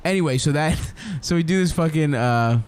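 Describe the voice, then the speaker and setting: A man's voice: on the high side, animated, moderate pace, darkish tone, clear, resonant tone. A young man, a comedian, telling a long profane story in casual podcast chat, rambling and laughing as he goes.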